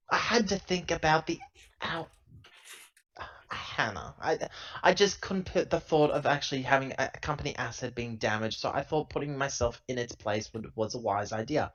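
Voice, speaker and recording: A man's voice: fairly high pitch, expressive, moderate pace, a bit bright. A man playing an earnest, defensive female starship crew member in an improvised sci-fi tabletop game, speaking hesitantly and explaining. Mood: sheepish, defensive. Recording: dull, lo-fi laptop audio.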